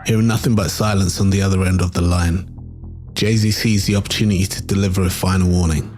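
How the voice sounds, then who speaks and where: Guy doing documentary voiceover, lowish voice, dramatic storytelling, some music under it. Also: fairly even intonation, a little fast, a little mellow. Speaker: documentary narrator. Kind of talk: scripted narration.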